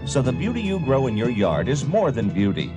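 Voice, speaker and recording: A man's voice: medium pitch, huge pitch swings, brisk. A man reading a scripted public service announcement as an announcer. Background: music.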